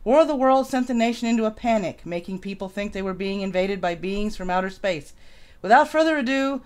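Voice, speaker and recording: A woman with a smooth, clear voice at a middle pitch hosts live. She moves between casual asides and scripted introductions read aloud. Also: lively intonation, a little fast, darkish tone. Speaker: live show host.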